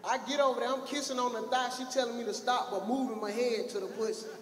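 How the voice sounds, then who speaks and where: Young male stand-up, high-voiced and loud, crude crowd work on a handheld mic, echoey theater with faint audience noise. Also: steady tone, fast talker. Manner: brash, profane, shouting at the crowd. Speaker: stand-up comedian. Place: large theater stage with an audience.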